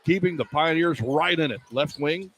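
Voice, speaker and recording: A man calling live basketball on the radio in clipped running descriptions that rise into excited calls, as a play-by-play announcer. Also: a little high, moderate pace. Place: indoor college basketball arena. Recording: clear arena noise behind the voice.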